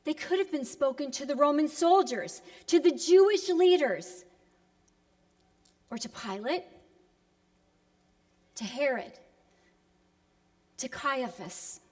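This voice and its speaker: A woman preacher giving a solemn, dramatic reflective sermon, deliberate with emphatic pauses, moving between hushed and impassioned delivery, in a reverberant hall. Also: sits high, animated. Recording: long echoing hall reverb.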